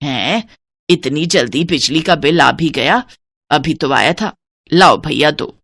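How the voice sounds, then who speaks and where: Woman playing a low-voiced, bossy miserly mother-in-law. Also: slow, a bit bright, no room sound, clean studio sound, exaggerated voice. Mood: scolding. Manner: scolding, commanding, stingy.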